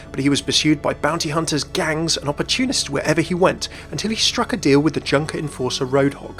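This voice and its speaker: A man's voice: on the high side, a bit bright. A man presenting a gaming video in scripted explainer narration.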